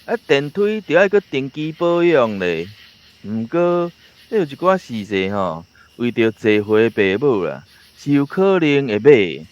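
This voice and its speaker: A man's voice: medium pitch, big pitch swings, a little slow, dull audio. An actor with a Taiwanese accent playing a talkative, opinionated male villager in a radio drama. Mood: explaining. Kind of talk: conversational radio drama acting.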